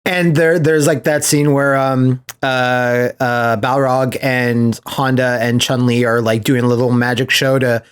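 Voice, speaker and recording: A man's voice: mid-range voice, unhurried, few pauses, clean tone. A man co-hosting a podcast, chatting casually and telling stories with enthusiasm, quoting reactions and swearing freely. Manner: animated storyteller, acts out quotes, swears casually. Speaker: podcast host.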